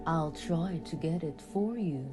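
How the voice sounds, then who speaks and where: A woman's voice: low-pitched, animated, a little slow, music in the background. A young woman playing a polite, impassive telephone operator in a radio play, speaking in formal set phrases. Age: young adult.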